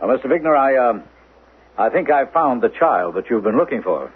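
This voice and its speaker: An actor playing an anxious, defensive doctor in a 1970s radio drama, with an earnest, tense delivery. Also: male voice, mid-range voice, big pitch swings, moderate pace, husky. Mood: nervous. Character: anxious, defensive male doctor hiding a secret. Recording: narrow, lo-fi old radio recording, faint noise.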